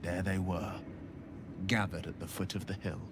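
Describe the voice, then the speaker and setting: An actor playing a noble, resolute young hero who speaks in an earnest, rousing way. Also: male voice, low-pitched, fairly even intonation, normal speed, noisy background, close to an everyday voice. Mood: storytelling. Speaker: game companion. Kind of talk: video game dialogue.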